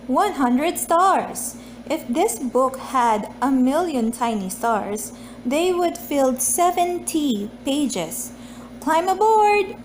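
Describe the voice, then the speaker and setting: A woman hosting a read-aloud of a children's picture book, reading in a deliberate, expressive way with casual chat. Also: high voice, a little hiss or hum.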